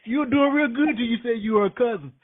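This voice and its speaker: A man's voice: very high-pitched, quick pace. A man hosting a conversational radio interview, heard over a noisy, tinny phone-like connection. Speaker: radio show host.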